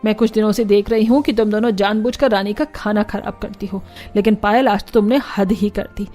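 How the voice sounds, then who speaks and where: A woman's voice: medium pitch, steady tone, a little fast, mellow, soft background music, close to an everyday voice. Woman playing a stern, scolding mother-in-law. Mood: stern, accusing. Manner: reproachful, admonishing. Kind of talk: Hindi cartoon family drama.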